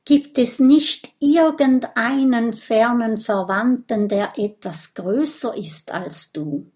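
Woman as a thoughtful, high-voiced young dragon. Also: slow, a little mellow.

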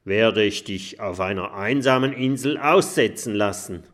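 Ein Mann spricht einen tyrannischen Piratenkapitän, der herrisch brüllt. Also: male voice, fairly low pitch, measured pace, fairly bright tone. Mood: threatening. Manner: bellowing, bossy. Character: loud tyrannical male pirate captain.

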